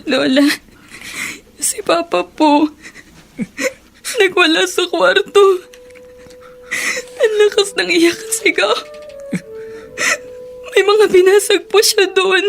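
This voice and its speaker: Very high-pitched worried young girl. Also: slow pace, vocal fry, pauses often, soft background music, full character voice. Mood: worried, upset. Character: worried, tearful young granddaughter reporting to grandmother. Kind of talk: Tagalog radio drama acting.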